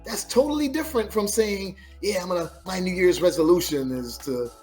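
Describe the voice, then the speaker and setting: A man hosting a podcast interview, talking in an animated, coaching, encouraging way. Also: sits high, fairly quick, faint music behind. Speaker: podcast host. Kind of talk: casual interview chat.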